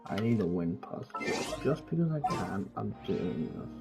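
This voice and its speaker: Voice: deep voice, sing-song, moderate pace, creaky. A game streamer giving casual, slangy commentary, often talking to themselves while playing. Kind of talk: casual gameplay commentary, reading dialogue aloud. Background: game music.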